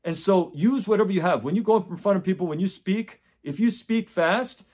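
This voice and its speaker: A man in his forties, from the United States, speaking to camera in a small home office. His voice sits a little higher than most men's, and he talks earnestly, circling back on his words and checking in with "okay?" as he goes. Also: brisk, darkish tone. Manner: earnest, repetitive, many restarts and "okay?" checks. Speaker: test prep tutor. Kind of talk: direct-to-camera advice talk. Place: home office with framed certificates.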